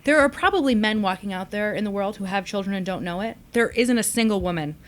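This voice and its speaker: A woman's voice: medium pitch, fast talker. A woman hosting a conversational podcast, reflective and exploratory as she puts questions to others. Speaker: podcast host.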